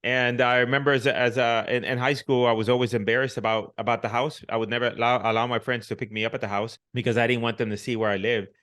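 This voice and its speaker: A man's voice: mid-range voice, level tone, fast talker, few pauses, a bit bright, smooth. A middle-aged man from Puerto Rico, a podcast guest, telling his life story in casual, reflective talk with frequent fillers and restarts. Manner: reflective, many restarts and "you know" fillers.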